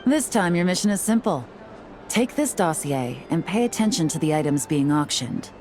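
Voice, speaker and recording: A woman playing a confident, composed secret agent in a game, speaking with smooth assurance. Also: fairly low pitch, lively intonation, normal speed, darkish tone, natural voice. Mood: confident, businesslike. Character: poised, confident adult female secret agent and teahouse owner. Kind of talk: game character voice acting. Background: music.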